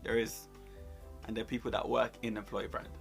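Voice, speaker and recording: A man's voice: lowish voice, level tone, a little fast, creaky, faint music behind. A man co-hosting a career advice talk, coaching conversationally and emphatically, repeating points to drive them home. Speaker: co-host giving job interview advice.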